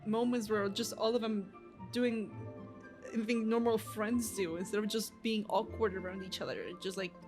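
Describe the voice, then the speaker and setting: A woman's voice: mid-range voice, fairly even intonation, moderate pace. Young woman streaming a game, casual reaction chat, midrange voice, game music behind.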